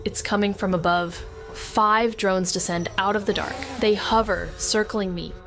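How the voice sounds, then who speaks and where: A woman's voice: medium pitch, steady tone, measured pace, fairly bright tone, faint music behind. A woman playing a wry, anxious, sweary amateur sleuth, narrating a noir audio drama in the first person. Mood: wry, tense.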